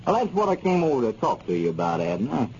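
A man's voice: mid-range voice, big pitch swings, fast talker, a little mellow. A man playing a reasonable, earnest small-town American townsman in a 1930s radio comedy serial. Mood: concerned. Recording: muffled, noisy 1930s radio recording.

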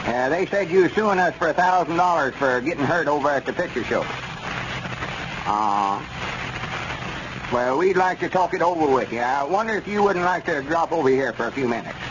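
An actor playing an earnest, wary country storekeeper in a 1930s radio comedy serial, speaking in folksy rustic talk with a rural Arkansas accent. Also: male voice, fairly high pitch, lively intonation, moderate pace, pauses often, lightly acted. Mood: awkwardly polite. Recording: noisy, muffled 1930s radio recording.

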